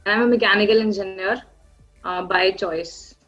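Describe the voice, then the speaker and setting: A woman's voice: medium pitch, steady tone, normal speed, fairly bright tone. A woman from Delhi, a student in a group project, who calls her noisy group to order and then speaks to the viewer. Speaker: student group member and narrator. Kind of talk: student role-play video. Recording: dull lo-fi audio with faint music.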